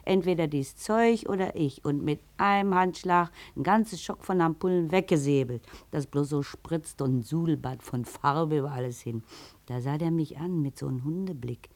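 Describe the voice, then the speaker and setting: Middle-aged Berlin hairdresser widow, coy and colloquial, lowish voice, vintage radio drama. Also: female voice, a little mellow. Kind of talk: 1950s German radio play acting.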